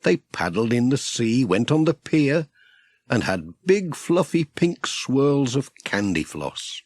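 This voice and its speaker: A man's voice: medium pitch, very animated, slow pace, dry recording, studio quality. A man with a British accent reading a children's story as a scripted audiobook narrator.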